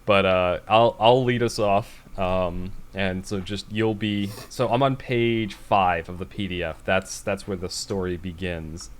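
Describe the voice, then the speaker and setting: A man's voice: sits low, fairly even intonation, measured pace, hardly any pauses, a little hiss or hum. A man with an American accent hosting a podcast, chatting casually and reading stories aloud. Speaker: podcast host.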